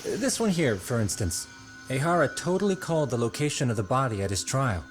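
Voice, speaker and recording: Man acting a measured tech investigator, medium pitch. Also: sing-song, soft background music, natural voice. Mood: measured explaining. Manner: explanatory, measured. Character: measured, analytical male internet investigator. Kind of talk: video game cutscene voice acting.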